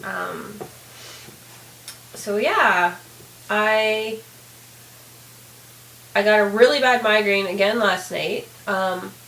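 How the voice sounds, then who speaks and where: Hoarse woman rambling in an echoey room. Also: mid-range voice, extremely slow, long pauses, a bit bright. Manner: candid, rambling, many fillers. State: hoarse, raspy voice. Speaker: vlogger. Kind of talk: casual video diary.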